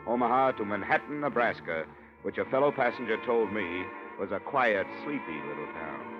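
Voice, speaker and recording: A man's voice: fairly low pitch, expressive, moderate pace, close to an everyday voice. A man playing a tough, wry insurance investigator, clipped and dry, narrating a vintage radio detective drama. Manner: clipped, dry, hard-boiled. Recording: dull old radio recording, loud music.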